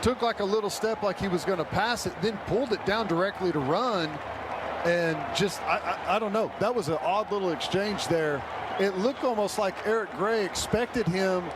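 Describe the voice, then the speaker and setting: American dude doing color commentary on football, pretty high voice, chatty breakdowns, stadium noise behind him. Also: male voice, normal speed. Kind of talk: conversational football analysis. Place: stadium radio booth. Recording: stadium crowd and background noise.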